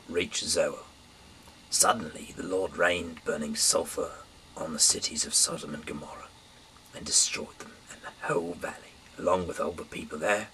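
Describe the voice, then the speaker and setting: A man's voice: low-pitched, pushed and bright. A man in his thirties reading scripture aloud in an informal but dramatic way, with the odd stumble. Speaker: Bible reader. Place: small dim room indoors. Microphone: camera mic from a little way off. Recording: distant mic, faint background hiss.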